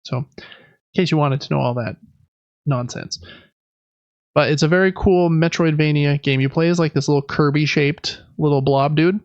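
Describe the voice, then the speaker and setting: Higher-pitched man, creaky, enthusiastic podcast chatter. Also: normal speed, no room sound, highs cut off. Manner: rambling, enthusiastic, informal. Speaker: podcast co-host. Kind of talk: casual chat about video games.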